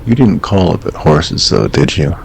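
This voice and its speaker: An actor playing a calm late-night call-in radio host who asks short, probing questions. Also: male voice, low voice, normal speed, vocal fry, a little hiss or hum, exaggerated voice.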